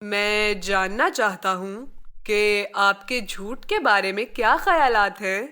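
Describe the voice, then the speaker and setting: Woman voicing a caring big brother, bright and slow. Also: medium pitch, clean tone. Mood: friendly, probing. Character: caring, gently admonishing older brother Arsalan, a boy. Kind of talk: children's moral story character voice.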